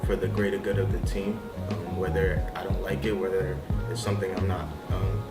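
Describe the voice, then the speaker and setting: A man's voice: low voice, flat delivery, a little fast. A young man from Massachusetts, an entrepreneur, speaking earnestly and reflectively in a profile interview with hesitant restarts. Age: young adult. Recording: echoey room, distant mic, loud music bed. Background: loud background music.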